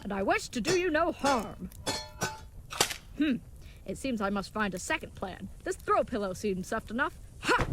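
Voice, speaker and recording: A woman's voice: fairly high pitch, very animated, normal speed, noisy background. A woman playing an earnest, naive, chivalrous young knight in an audio comedy, speaking with stilted, wordy politeness. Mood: eager, polite. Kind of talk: scripted audio comedy acting.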